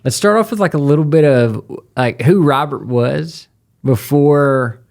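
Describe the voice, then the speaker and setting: A man's voice: on the high side, unhurried, gravelly, darkish tone. A man hosting a podcast interview in a laid-back, jokey way. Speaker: podcast host. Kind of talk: casual interview chat.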